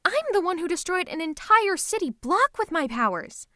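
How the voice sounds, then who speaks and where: A woman's voice: a little high, lively intonation, normal speed, dry recording, clean studio sound, lightly acted. A woman voicing a theatrical, possessive female supervillain who is secretly a tender lover, in a scripted ASMR roleplay with a dramatic, haughty, teasing manner. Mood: jealous outrage. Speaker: ASMR voice performer.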